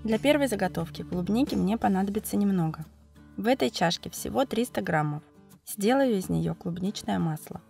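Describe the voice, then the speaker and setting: A woman with a cold, narrating a cooking video as its host, explaining each step calmly and methodically. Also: medium pitch, normal speed, vocal fry, soft background music. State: has a cold, voice affected. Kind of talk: calm recipe voice-over narration.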